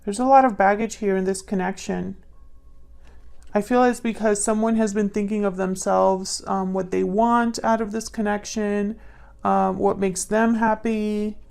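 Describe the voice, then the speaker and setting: A woman giving a casual intuitive tarot reading to viewers, musing and pausing as she thinks aloud. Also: mid-range voice, vocal fry, a little mellow. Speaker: tarot reader.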